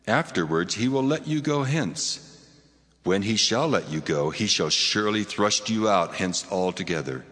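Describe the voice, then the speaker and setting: An actor playing a majestic, authoritative deity in a dramatized scripture audio production, grave, measured and commanding, with a reverberant effect. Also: male voice, on the low side, animated, fairly bright tone. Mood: solemn, authoritative. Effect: long reverb. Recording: long cavernous reverb, music underneath.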